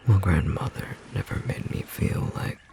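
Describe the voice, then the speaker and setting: A man playing a gentle, romantic storm sorcerer in intimate ASMR roleplay, speaking tenderly in a soft, breathy, slightly rough voice. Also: sits low, lively intonation, a little hiss or hum. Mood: reflective, earnest. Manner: soft, slow, tender murmuring with pauses.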